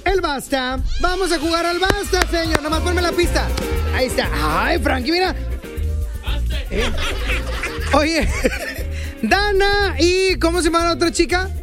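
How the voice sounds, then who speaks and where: A high-pitched man runs a comic radio show, teasing and joking with callers. Music plays clearly behind him. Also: lively intonation, unhurried, pauses often. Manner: teasing, joking, laughs often. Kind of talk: comic morning radio banter.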